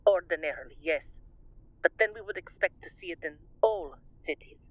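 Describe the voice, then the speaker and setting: Woman acting formal lady doctor doing a radio interview, thin tinny radio sound, precise and measured. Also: lowish voice, animated, dry recording. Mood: earnest, assured. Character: earnest female doctor, expert guest on radio. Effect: radio filter. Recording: muffled, band-limited radio broadcast sound.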